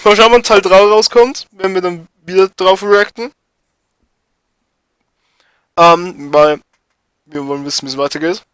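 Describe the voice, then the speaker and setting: A man's voice: high-pitched, measured pace, pauses often, fairly bright tone, clean tone, dry recording. A man hosting a reaction video with casual, off-the-cuff commentary. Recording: dry, faint music behind.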